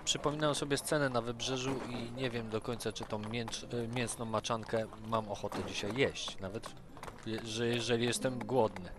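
A man's voice: mid-range voice, fairly even intonation, normal speed, very noisy. A man taking part in a tabletop role-playing game, thinking aloud hesitantly as he describes what his character says and does.

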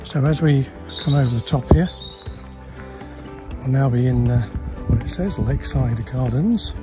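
A man with a relaxed, chatty manner, narrating a tour of a campsite as he walks, recorded outdoors on a handheld camera. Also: medium pitch, unhurried, over music. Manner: relaxed, chatty, guiding with frequent fillers. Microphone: handheld camera, filming while walking.

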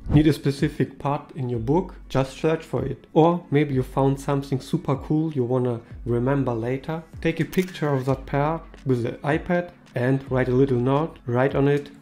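A man's voice: mid-range voice, normal speed, few pauses, mellow. A man in his thirties whose first language is not English, talking to camera in a small room in a casual, upbeat way with slightly non-native phrasing. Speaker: tech video host.

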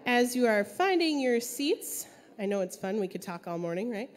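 A woman's voice: fairly high pitch, big pitch swings, moderate pace. A woman in a church sanctuary, upbeat and encouraging as she introduces a kids' song to the congregation. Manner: upbeat and encouraging, coaxing the crowd.

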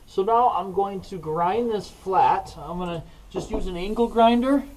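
A man's voice: sits high, unhurried, a little mellow, low-quality recording. A man giving casual, plain-spoken how-to commentary as he works on auto body repair. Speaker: DIY auto body repair video maker. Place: indoors in a garage, working inside a car.

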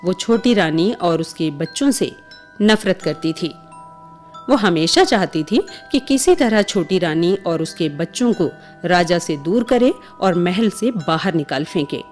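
A woman's voice: lowish voice, animated, unhurried, darkish tone. A woman narrating a scripted bedtime story for children as the storyteller. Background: music with chimes.

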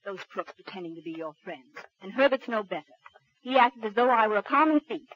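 A woman's voice: medium pitch, expressive, fairly quick, breathy edge. An actress playing a spirited, outspoken small-town woman, direct and plain-spoken, in 1940s radio style. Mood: confiding, indignant. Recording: dull, hissy 1940s radio recording.

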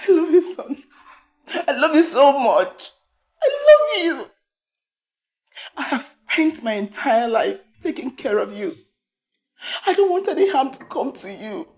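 A woman's voice: high-pitched, very animated, measured pace, pauses often, a little mellow, heavily acted. A woman playing a possessive, emotional mother in a melodrama, pleading insistently with her grown son. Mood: tearful, pleading. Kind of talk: melodramatic screen acting.

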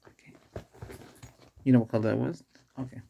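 A middle-aged man doing a soft-spoken ASMR doctor roleplay, murmuring unhurried questions close to the mic in a creaky, breathy voice. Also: mid-range voice, steady tone, highs cut off. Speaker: ASMR performer playing an ER doctor examining a patient. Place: small indoor setup with cloth backdrop.

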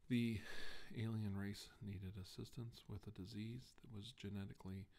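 A man in his forties doing a soft ASMR role-play of a formal deposition, speaking haltingly and formally, with hesitant pauses. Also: on the low side, steady tone. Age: 40s. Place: small indoor room, seated at a desk.

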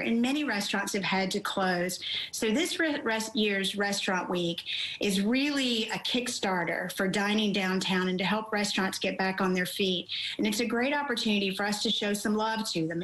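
A woman's voice: mid-range voice, fairly bright tone. A middle-aged woman talks up local restaurants from her home over a video call, chatty and upbeat, tripping into ums now and then. The room around her rings noticeably, and a faint hiss sits under her voice. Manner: chatty, enthusiastic, frequent ums. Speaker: magazine editor. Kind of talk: remote news interview. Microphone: laptop video call. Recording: roomy video call audio.

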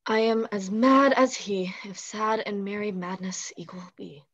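A woman's voice: medium pitch, unhurried, a bit bright. A young woman playing a lovesick, earnest noblewoman in a Shakespeare comedy, read over a video call. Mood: anxious, lovesick. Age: young adult. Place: indoor room, earphones on video call. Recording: slightly dull video call audio.

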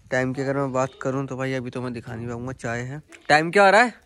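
A man's voice: mid-range voice, expressive, fast, fairly bright tone, slight background noise. A young man chatting casually to viewers during a night road trip, filmed on a phone inside his car. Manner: chatty, addresses viewers as bhai. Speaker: car vlogger.

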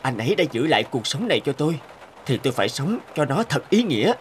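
A man's voice: mid-range voice, sing-song, fast talker, slight background noise, natural voice. A man playing a kindly, humble truck driver in a cartoon, speaking in an earnest, reflective storytelling way. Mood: earnest, grateful. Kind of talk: cartoon voice acting.

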